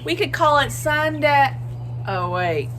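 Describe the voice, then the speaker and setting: A woman voicing a boastful, playful Sun from a picture book, theatrical and bragging with drawn-out emphasis. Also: sits high, animated, a bit bright, slight background noise, pitched above the performer's own voice, exaggerated voice. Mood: playful, proud. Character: boastful, playful Sun, a cheerful showboating star. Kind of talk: expressive picture-book read-aloud.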